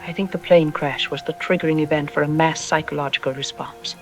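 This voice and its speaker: A woman playing a self-assured psychologist on a radio drama interview, explaining in an articulate, didactic and calmly dismissive way. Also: sits low, brisk. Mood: clinical, dismissive. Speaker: expert interview guest. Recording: music playing under the voice. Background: background music.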